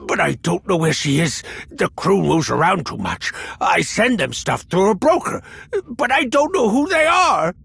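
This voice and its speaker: An actor playing a nervous, eccentric stim-addicted hacker in a video game, raspy and rambling in a stammering way. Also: male voice, high voice, big pitch swings, moderate pace, a bit bright, no echo, heavily acted. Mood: agitated, evasive. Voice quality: raspy, scratchy. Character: jittery, eccentric male slicer addicted to stims.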